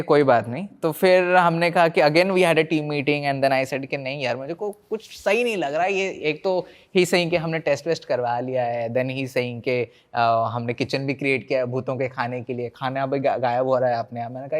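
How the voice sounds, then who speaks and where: A man's voice: mid-range voice, normal speed, few pauses. An Indian man, a paranormal investigator, telling stories conversationally as a podcast guest, mixing Hindi and English and acting out reported dialogue.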